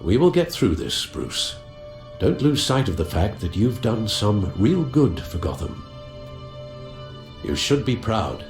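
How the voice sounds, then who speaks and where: An actor playing a loyal, gentle older butler in a video game, speaking in a measured, formal, fatherly way. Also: lowish voice, big pitch swings, full character voice. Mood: weary, tender. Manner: measured, formal, dryly courteous. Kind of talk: dramatic video game acting. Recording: roomy sound with loud music behind.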